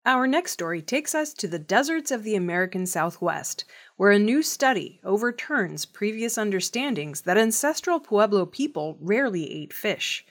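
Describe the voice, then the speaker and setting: A woman's voice: mid-range voice, lively intonation, normal speed. A woman reading scripted news reports as a narrator. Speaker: news reader.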